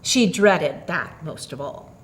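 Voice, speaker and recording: A woman storyteller reading a tale expressively, with dramatic, deliberate phrasing. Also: sits low, expressive.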